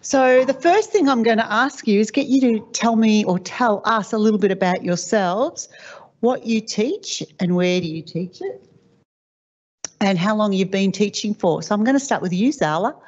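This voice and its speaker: A woman's voice: medium pitch, normal speed, a little mellow. A woman facilitating a panel, reading introductions and asking questions in a friendly way. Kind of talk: moderating a panel discussion. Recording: muffled lo-fi sound.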